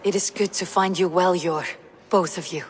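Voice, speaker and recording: A woman's voice: on the low side, steady tone, normal speed, in a large hall, a little hiss or hum, close to an everyday voice. An actress playing a stern, blunt Norse warrior woman in a video game, speaking in terse commands. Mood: grave. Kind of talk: dramatic video game acting.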